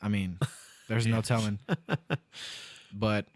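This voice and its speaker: Young man, low voice, laid-back storyteller, dry studio sound. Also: flat delivery, moderate pace, a little mellow, dry recording. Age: 20s. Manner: laid-back, rambling storyteller, restarts often. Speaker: guest. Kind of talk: casual podcast chat.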